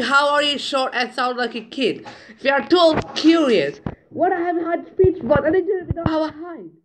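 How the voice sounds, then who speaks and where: A woman reading group chat messages aloud over a screen recording, in a slow, halting way with muttered asides, on a phone mic. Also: high voice, background noise. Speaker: channel owner.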